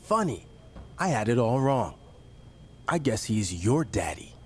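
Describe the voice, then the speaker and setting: A man's voice: medium pitch, big pitch swings, unhurried, slightly rough. A man playing a defiant, sarcastic wrestling champion who needles others in a video game scene. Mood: sarcastic, resentful. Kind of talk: scripted game dialogue.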